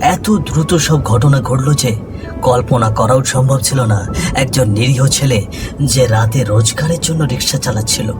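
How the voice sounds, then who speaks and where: A man tells a scripted ghost story in a dramatic style. His pace is slow and his pitch mid-range, with loud music running underneath.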